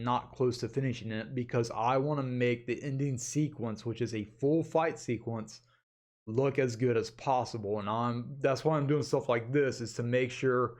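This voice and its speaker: A man hosting a casual update vlog, talking informally and in a rambling way to his viewers as an animator. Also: mid-range voice. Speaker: animator and channel host.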